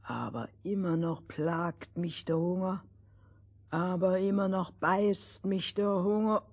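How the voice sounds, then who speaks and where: Hungry little fairy-tale man, acted by a woman, self-pitying, moaning, low-key, husky. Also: takes it slowly, a little mellow, exaggerated voice. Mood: miserable.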